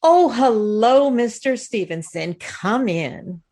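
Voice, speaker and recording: An actress playing a sensible, mildly scolding housewife and hostess in an old-time radio comedy. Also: female voice, a little high, lively intonation, takes it slowly, mellow. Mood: polite, flustered.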